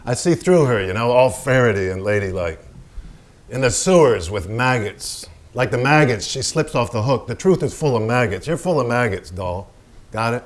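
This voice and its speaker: An actor playing a gruff, crude, brooding male painter in a theatrical staged reading, blunt, terse and sardonic. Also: mid-range voice, expressive, normal speed, natural voice. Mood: menacing, sardonic. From United States (the figure, Wyoming).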